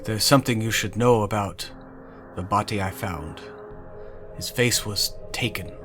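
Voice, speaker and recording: A man playing a grave fiend-hunter in a fantasy audio drama, speaking in a halting, deliberate way. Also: on the low side, music in the background, lightly acted. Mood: grave, warning. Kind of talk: actual-play audio drama acting.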